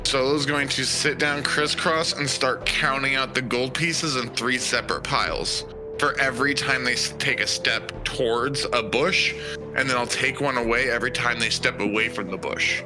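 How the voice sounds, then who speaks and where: A man playing a tabletop roleplaying game, narrating his character's moves and lines in a halting, think-aloud way. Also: mid-range voice, steady tone, poor audio. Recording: music playing behind. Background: background music.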